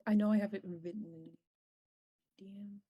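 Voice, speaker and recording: A woman's voice: mid-range voice, fairly even intonation, a little slow, husky, lo-fi sound. A woman chatting casually as a player in a tabletop game. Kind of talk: casual chat.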